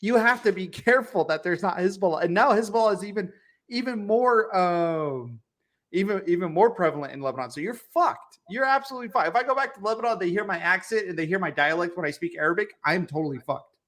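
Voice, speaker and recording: A young American man with a high voice co-hosting a comedy podcast. He talks emphatically and profanely, ranting in bursts. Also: lively intonation, quick pace, a bit bright. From United States. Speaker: podcast co-host. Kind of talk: casual comedic podcast banter.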